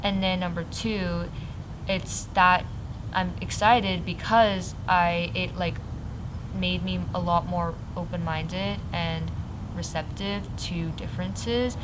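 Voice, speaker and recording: A teenage girl giving a lively, animated documentary interview. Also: on the low side, takes it slowly. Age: teens. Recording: loud traffic noise behind.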